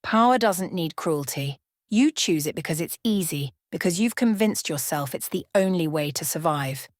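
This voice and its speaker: A serene young vampire woman speaks softly and calmly, with a quiet edge beneath, as a woman reader puts her on. Her voice sits low and is heard close and dry. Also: moderate pace, no room sound, lightly acted. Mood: stern. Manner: soft, calm, almost playful. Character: serene young female vampire, calm and unsettling.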